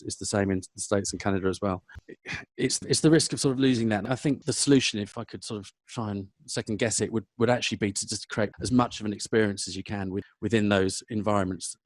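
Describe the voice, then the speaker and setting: A man's voice: on the low side, dry recording. A man with a London English accent giving rambling, self-correcting conversational answers as an interview guest. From South London, England. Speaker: podcast guest, food business professional.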